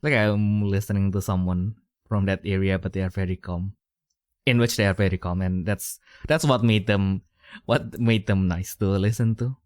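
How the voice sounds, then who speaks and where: A man's voice: low voice, fairly even intonation, moderate pace, clear, resonant tone, no room sound, studio quality. A young man, hosting a podcast in casual, rambling chat full of fillers and restarts. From Indonesia. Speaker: podcast host.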